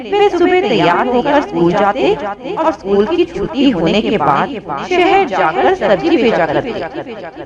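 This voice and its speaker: A woman narrating a scripted moral story as a storyteller. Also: a little high. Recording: dull audio, loud crowd bed behind.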